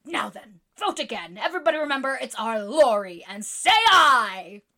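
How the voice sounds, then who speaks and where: A woman's voice: a little high, expressive, moderate pace, pushed and bright, exaggerated voice. A woman playing a boisterous tomboyish young woman who speaks in a hearty, theatrical, mock-formal way. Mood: excited.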